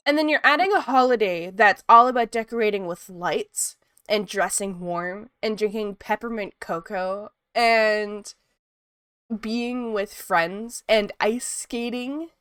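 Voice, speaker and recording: A woman's voice: mid-range voice, slow, clean tone, dry recording, clean studio sound. A woman from Ontario, a sniffly art streamer chatting casually with her viewers while she draws. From Canada, Ontario. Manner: chatty, lively, reads chat aloud.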